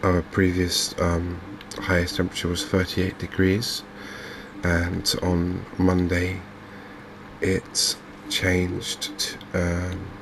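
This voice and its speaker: A man with an English accent giving soft-spoken, hesitant, unhurried gameplay commentary in an ASMR style. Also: low-pitched. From England. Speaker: gaming video host. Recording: clear steady background noise.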